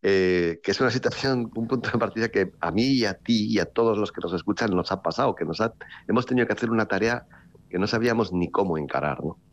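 Novelist, male, very low voice, rambling and wry, muffled lo-fi audio. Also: quick pace. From Basque Country, Spain. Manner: rambling, many restarts and fillers, wry. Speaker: author. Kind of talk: relaxed interview talk.

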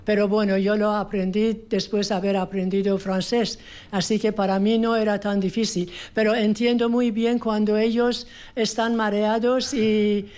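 A woman from Iran with a Persian accent, a volunteer language teacher answering calmly in plain, slightly halting Spanish. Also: medium pitch. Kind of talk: casual interview answers.

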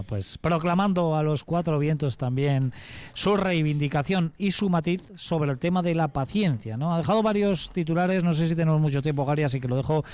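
A man's voice: fairly high pitch, a little mellow, clean tone. A man reporting live from a press room for a sports broadcast, fluent and conversational, handing over to a colleague.

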